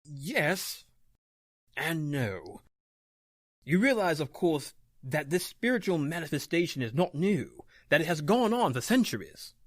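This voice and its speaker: A man playing a grave, authoritative occult doctor in an old-time radio horror drama, speaking emphatically and deliberately. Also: fairly high pitch, big pitch swings, dry recording, clean studio sound. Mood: solemn, explanatory. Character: grave, authoritative male doctor battling the supernatural.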